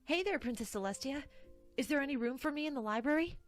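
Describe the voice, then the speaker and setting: A woman's voice: sits high, lively intonation, fast talker, dry recording, soft background music. A woman playing a cocky, stubborn young pony student. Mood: confident. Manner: cocky and dismissive.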